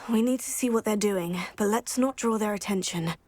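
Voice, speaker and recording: An actress playing a brave, level-headed young student witch in a video game, with a composed, measured delivery. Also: mid-range voice, darkish tone, close to an everyday voice. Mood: focused, cautious. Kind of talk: video game character dialogue.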